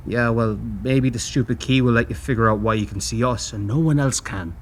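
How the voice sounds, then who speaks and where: A man playing a quirky, insecure monster in a horror audio drama, with a hesitant, excitable manner. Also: mid-range voice, fairly quick, a little hiss or hum, natural voice. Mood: unsure, protective. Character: quirky, sheepish, insecure male monster who loves cats.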